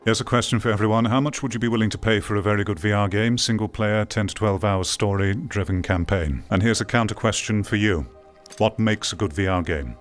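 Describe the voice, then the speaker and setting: A man giving reflective video game commentary in a measured, theatrical way with deliberate pauses. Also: fairly low pitch, faint music behind. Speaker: video game critic and content creator. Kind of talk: reflective scripted-sounding video essay commentary.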